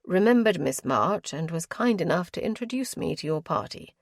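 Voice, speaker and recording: A woman voicing a courteous nobleman around forty, measured and polite. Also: fairly low pitch, expressive, creaky, no echo, clean studio sound.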